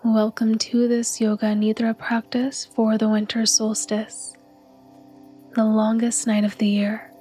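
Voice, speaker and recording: Slow, soft woman guiding a meditation. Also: a little high, monotone, soft background music. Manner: soft, breathy, soothing, unhurried delivery. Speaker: meditation guide. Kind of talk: guided yoga nidra meditation narration.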